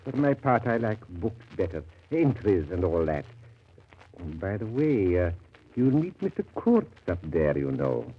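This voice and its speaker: Prim callous bookkeeper, fussy and hesitant, husky voice, 1930s radio drama, narrow phone-like band. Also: male voice, sits low, very animated, a little slow, full character voice. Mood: prim, insinuating. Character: fussy, callous male company accountant, prim and bookish. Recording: muffled, tinny 1930s radio broadcast.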